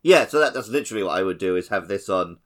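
A man's voice: deep voice, lively intonation, fast talker. A man co-hosting a podcast, chatting casually about a video game. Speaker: podcast co-host.

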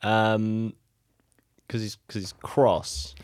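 A man's voice: lowish voice, fairly even intonation, drawn-out words, darkish tone, no room sound, studio quality. A man co-hosting a show in casual, unscripted chat, with a rambling, jokey way of talking. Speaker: podcast co-host and improv voice actor.

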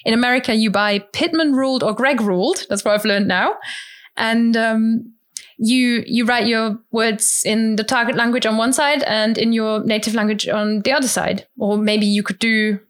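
A woman from Germany, a guest in an interview, explaining her study habits in a chatty way with many restarts, sometimes acting out quotes in a higher voice. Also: steady tone, normal speed. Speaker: interview guest, language learner. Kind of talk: casual conversational explanation.

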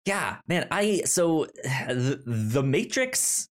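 A man in his thirties hosts a relaxed podcast chat, often tripping over his words and repeating the starts of them. He talks slowly, and his pitch swings about a great deal. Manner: halting, many repeated starts and stammers. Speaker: podcast host. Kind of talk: casual chat.

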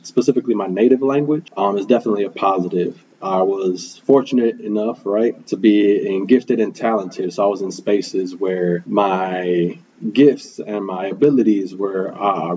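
A young man from Milwaukee, a native Spanish speaker, talks earnestly in a lowish, mellow voice. He reflects as he goes, with plenty of ums and little "right?" check-ins. The recording is a bit muffled, with a faint hum underneath. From Milwaukee, Wisconsin, USA. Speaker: guest, school program manager. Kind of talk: conversational interview answers.

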